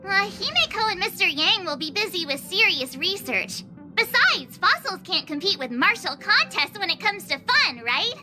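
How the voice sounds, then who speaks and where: A woman voicing an excitable, fun-loving young adventurer who teases and protests in a game cutscene over music. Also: extremely high pitch, moderate pace. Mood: protesting. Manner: excitable, whiny, teasing.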